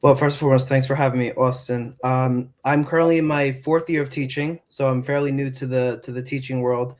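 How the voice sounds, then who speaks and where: A man's voice: medium pitch, steady tone, brisk, darkish tone. A man in his twenties who grew up in New York with Portuguese as his first language, a middle school Spanish teacher answering interview questions earnestly with many fillers and restarts. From New York, United States.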